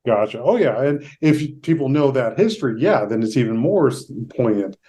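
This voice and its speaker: A man hosting a casual interview, talking in a halting, discursive way. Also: medium pitch, animated, mellow. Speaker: podcast host.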